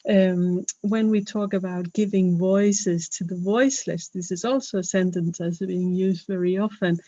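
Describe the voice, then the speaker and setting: A woman's voice: on the low side, fairly even intonation, a little slow, dark tone, dry recording, muffled recording. A woman from Denmark with a Danish accent, speaking English as a second language in a webinar talk, earnest and hesitant, with many fillers and restarts. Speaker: webinar speaker, health professional.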